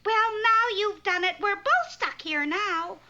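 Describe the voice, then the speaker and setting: A woman's voice: extremely high pitch, a little slow, bright, forceful tone, a little hiss or hum, full character voice. A woman voicing a sweet but wry young fairy-tale maiden in a comic cartoon, matter-of-fact and complaining. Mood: exasperated. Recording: muffled, lo-fi cartoon soundtrack.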